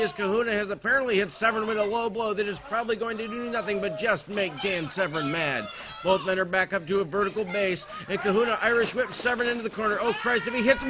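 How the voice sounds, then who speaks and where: Man, high-pitched, American accent, comedic sports commentator, deadpan, verbose, noisy recording. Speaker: wrestling play-by-play announcer.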